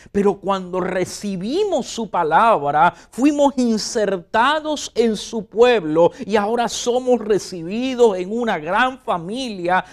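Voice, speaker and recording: A man from Rico, preaching a fervent sermon and speaking straight to his listeners. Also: high-pitched. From Puerto Rico. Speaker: pastor.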